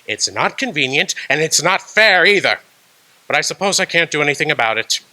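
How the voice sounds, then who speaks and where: A man's voice: fairly high pitch, animated, a little fast, bright, forceful tone. An actor playing a stingy, cold old male miser in a radio drama, curt and scornful, barking orders. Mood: grudging. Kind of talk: stage radio drama acting.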